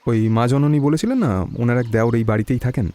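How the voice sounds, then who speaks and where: An actor playing a calm young detective in a Bengali audio story, asking questions in a measured, inquisitive way. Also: male voice, medium pitch, no room sound, lightly acted. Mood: calm, probing.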